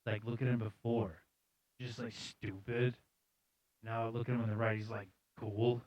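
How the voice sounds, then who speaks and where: A man's voice: medium pitch, level tone, moderate pace, no echo, highs cut off. A man hosting a live news show, casually reading articles aloud with jokey, slangy, sardonic commentary.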